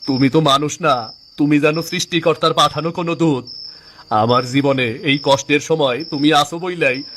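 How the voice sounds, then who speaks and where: A man's voice: a little high, steady tone, moderate pace, a bit bright, natural voice. A man playing a poor, kind-hearted village cook in a cartoon, with an earnest, humble manner and a rural Bangladeshi accent. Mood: grateful, friendly. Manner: earnest, humble, homely dialect. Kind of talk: cartoon story dialogue.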